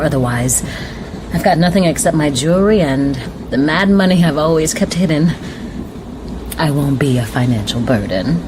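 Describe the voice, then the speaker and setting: An actress playing a desperate, pleading young woman on the run, with a breathy, hushed delivery in a radio drama. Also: deep voice. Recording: noisy radio drama with music behind.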